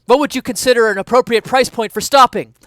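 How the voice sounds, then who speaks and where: Give the voice of a self-righteous hardboiled police detective as a man reads him aloud. He is raised in pitch, forceful and declamatory, barking earnestly in a parody crime story. The sound is close and dry. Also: fast talker, dry recording. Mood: yelling urgently. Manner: earnest, declamatory, shouting commands.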